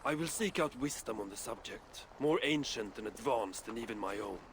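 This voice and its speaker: An actor playing a proud, foul-mouthed dwarf craftsman in a video game, gruff and sardonic. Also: medium pitch, animated, moderate pace, fairly bright tone, a little hiss or hum, natural voice. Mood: earnest, scheming. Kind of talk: cinematic video game acting.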